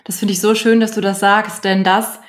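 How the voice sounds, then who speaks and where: A woman hosting an interview, asking questions with lots of fillers and responding with gentle affirmation. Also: mid-range voice, level tone, normal speed. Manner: warm, affirming, many fillers. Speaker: interview host. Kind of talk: casual interview talk.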